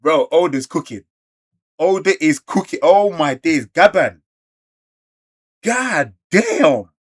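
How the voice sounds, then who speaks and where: A man with a London accent giving an excited, slangy read-along reaction to manga, full of exclamations. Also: fairly high pitch, slow, breathy edge. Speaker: YouTube reactor.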